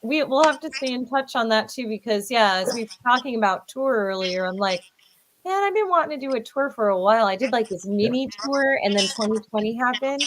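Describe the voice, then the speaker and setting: A woman's voice: on the high side, lively intonation, fairly quick, slight background noise, low-quality recording. A woman from North Dakota co-hosting a casual live-stream chat, upbeat and chatty. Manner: chatty, upbeat, laughs often. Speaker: co-host.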